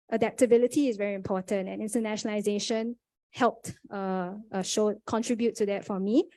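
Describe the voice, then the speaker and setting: Young Singaporean woman, relaxed mellow tone, panel speaker, halting and sincere. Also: mid-range voice. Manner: earnest, many fillers and restarts. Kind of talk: conference panel talk.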